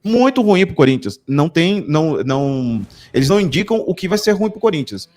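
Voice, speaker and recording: A man's voice: fairly high pitch, sing-song, fairly quick, darkish tone. A man from Bahia, Brazil, hosting a channel and asking long, rambling questions in casual talk. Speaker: channel host. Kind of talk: casual discussion.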